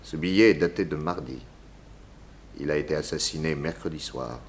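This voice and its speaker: An actor playing a methodical, probing detective who questions in a measured, pointed way, in 1960s radio drama style. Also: male voice, extremely low pitch, slight background noise. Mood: stern.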